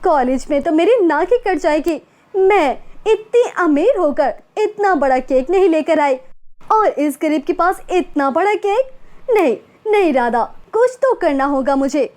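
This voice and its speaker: A woman voicing a rich, jealous young college girl who talks fast and sneers. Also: very high voice, lively intonation, darkish tone. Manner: sneering, quick-talking. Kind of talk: storybook character voice.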